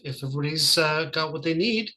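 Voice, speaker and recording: A man's voice: fairly high pitch, fast talker, pushed and bright. A man helping run an online meeting, talking casually. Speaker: video meeting technical helper.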